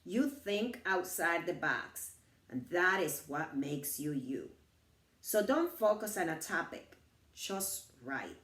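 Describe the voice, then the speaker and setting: A middle-aged woman reading her own personal, inspirational writing aloud in measured phrases. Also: lowish voice, sing-song, a bit bright. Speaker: author reading her book. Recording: roomy echo, distant from the mic.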